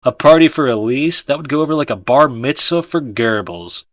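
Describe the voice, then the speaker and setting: A man's voice: medium pitch, lively intonation, normal speed, a bit bright, no echo, close to an everyday voice. A man voicing a cynical, dry-witted male housemate in a blunt, sardonic manner.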